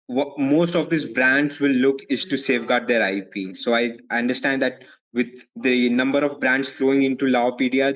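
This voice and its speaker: A young man from India with an Indian accent, answering interview questions as a legal advisor in a measured way, often repeating words as he thinks. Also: mid-range voice, a bit bright, rough recording. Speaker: foreign legal advisor, guest.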